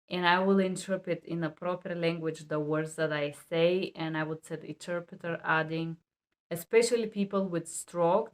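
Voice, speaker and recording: A woman who works as a medical and legal interpreter, teaching to camera in emphatic, non-native English and bluntly repeating key rules. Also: on the low side. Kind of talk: instructional talk to camera.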